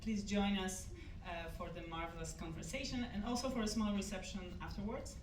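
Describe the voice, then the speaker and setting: A woman's voice: medium pitch, a little fast. A woman with a Polish accent giving a formal welcome speech with frequent "uh" restarts. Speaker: event host. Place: event hall. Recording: echoey hall, mic from a distance, audible noise.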